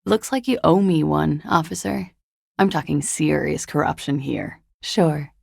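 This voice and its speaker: Casting: a secretive young female disco dancer in a game, coy and understated, very gravelly fry, close dry sound. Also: deep voice, unhurried, darkish tone, no room sound, heavily acted. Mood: playful, dry. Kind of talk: video game voice acting.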